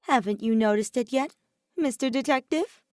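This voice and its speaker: A woman's voice: high-pitched, normal speed, no room sound, clean studio sound, voice pushed up, lightly acted. A woman voicing a sly, playful teenage boy trickster with a teasing, theatrical manner.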